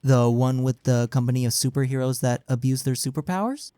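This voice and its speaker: A man's voice: medium pitch, brisk, dark tone, clear, resonant tone, dry recording, natural voice. Young guy voice actor doing audio roleplay as ur caring college best friend, casual n teasing, super dry close recording. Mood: playful, chatty. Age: young adult. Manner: casual, earnest, playful. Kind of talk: intimate audio roleplay acting, one-sided conversation.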